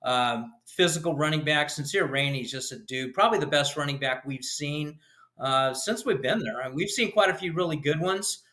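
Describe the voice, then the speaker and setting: Male sports guest, higher-pitched and forceful, measured and analytical in casual football conversation. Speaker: guest, local sports network game broadcaster.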